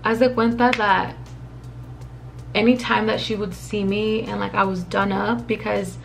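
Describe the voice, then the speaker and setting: A young woman in her twenties telling a story to her camera in a casual, rambling way. She is profane, leans on lots of fillers, drops in Spanish phrases, and her voice is a little raspy from a dry mouth. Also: mid-range voice, level tone, measured pace, slight background noise. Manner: chatty, profane, rambling with many fillers and asides; mixes in occasional Spanish phrases. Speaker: vlogger.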